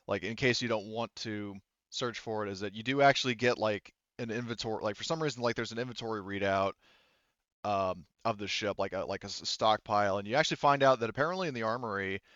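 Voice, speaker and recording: Low, smooth-voiced man running a tabletop game. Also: a bit bright, no echo, muffled recording. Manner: halting, piecing descriptions together informally. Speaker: game master. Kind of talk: casual tabletop roleplaying game narration.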